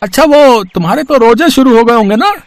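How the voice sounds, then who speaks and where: A man's voice: very high-pitched, animated, fairly quick, dry recording. A man voicing a cheerful, food-loving village farmer in a storybook tale, hearty and friendly.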